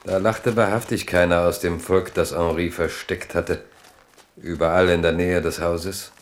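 A male narrator for a radio drama, pitched deep. He reads literary prose as a storyteller would. The delivery is measured and dramatic. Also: fairly even intonation.

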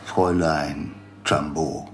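A man's voice: a bass voice, fairly even intonation, takes it slowly, a little hiss or hum. A man playing a rambling, conspiracy-minded doctor in a radio play, lecturing with theatrical emphasis. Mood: conspiratorial, lecturing.

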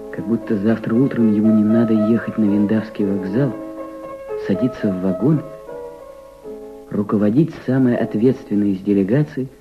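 An earnest, eager young diplomatic aide , acted by a man, speaks with respect and a reflective air. His voice sits a little low, with piano music behind it. The old radio drama sound is slightly dull. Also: lightly acted. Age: young adult. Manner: reflective diary narration, respectful replies. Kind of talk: Soviet radio play acting.

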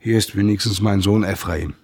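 An actor playing a humble, devout old coachman in a radio drama, telling things in a plaintive, emphatic way with a husky voice. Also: on the low side, moderate pace, darkish tone. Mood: sorrowful.

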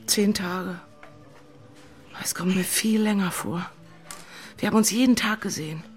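A woman's voice: mid-range voice, a little slow, natural voice. An actress playing an emotional, impulsive young woman in love turmoil, in a dramatic radio play style. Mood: subdued, confessional. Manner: dramatic, volatile, shifting into murmurs. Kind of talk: German radio play acting. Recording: music underneath.

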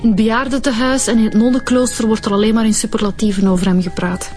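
A woman's voice: on the high side, steady tone, fast, darkish tone, close to an everyday voice. Actress as a direct Flemish policewoman, faint music. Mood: matter-of-fact. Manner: direct, conversational, slightly hesitant. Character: direct, businesslike female police inspector. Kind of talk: naturalistic radio drama acting.

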